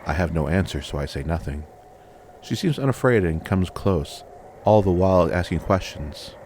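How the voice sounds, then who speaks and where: A man with a low, deep voice narrates a fantasy tale in the first person. His delivery is measured and dramatic, built on deliberate phrases. A little noise and ambience runs under the recording. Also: lively intonation, a little mellow. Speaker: audiobook narrator.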